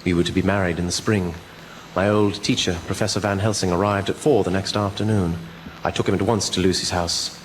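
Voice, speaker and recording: An actor playing an earnest doctor, narrating formally in a radio drama. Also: male voice, low-pitched, steady tone, brisk, lightly acted. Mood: formal, sombre. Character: earnest doctor recounting his fiancee's mysterious illness. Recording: noisy amateur local radio recording.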